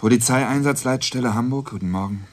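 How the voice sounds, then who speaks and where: An actor playing a young police dispatcher in a radio play, a patient nephew with a dry, laconic way of talking. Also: male voice, medium pitch, brisk, slight background noise, natural voice. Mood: dry, calm. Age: young adult.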